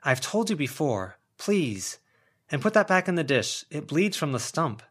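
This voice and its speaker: A guarded young woman in an audiobook, played by a man, pitched up from the narration. She is weary and halting, staying polite while sounding exasperated. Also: sing-song, a bit bright, slightly rough, exaggerated voice.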